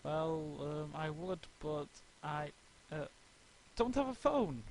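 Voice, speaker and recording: Prissy lady cartoon pony, played by a man, flustered and ladylike, swooping highish voice, noisy home recording. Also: expressive, takes it slowly.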